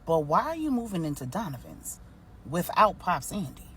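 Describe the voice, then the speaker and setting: Gentle expectant mother for an audio story, read by a woman: soft, questioning, lowish pitch, slight background noise. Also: very animated, normal speed. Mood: surprised. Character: pregnant mother in hospital, gentle but questioning.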